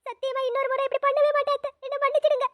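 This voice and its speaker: A woman's voice: very high-pitched, little pitch movement, racing, no room sound, distant mic, highs cut off. A woman voicing a young daughter-in-law who pleads for forgiveness. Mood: remorseful. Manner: pleading, apologetic.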